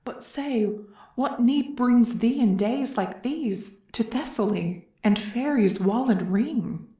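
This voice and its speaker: A woman's voice: a little high, expressive, takes it slowly, mellow. A woman playing a solemn, anxious chorus member in Greek verse tragedy, declaiming earnestly. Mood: grave, questioning. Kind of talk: classical verse drama reading. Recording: echoey room.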